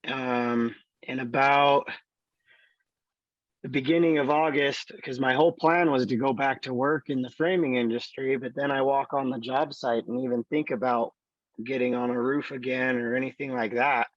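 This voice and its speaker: A man in his thirties with a raspy voice, slowly telling his life story in an interview with many fillers. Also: medium pitch, fairly even intonation, dry recording, lo-fi sound. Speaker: student.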